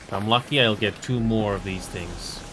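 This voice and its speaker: Low-voiced Finnish-born man on a noisy stream. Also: moderate pace. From Finland. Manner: rambling, repeats himself, mutters at the game. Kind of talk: casual live-stream chat while gaming. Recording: noisy background.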